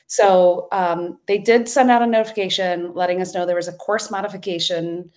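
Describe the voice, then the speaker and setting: A woman's voice: lowish voice, a little mellow. A woman co-hosting a podcast, telling a story in casual chat with many ums and restarts. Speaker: podcast co-host.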